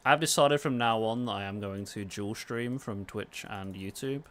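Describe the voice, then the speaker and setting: A man's voice: on the low side, normal speed, a bit bright, slight background noise. A man with a southern accent chatting casually and self-deprecatingly as he livestreams a game. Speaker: game streamer and YouTuber. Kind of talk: casual livestream chat while gaming.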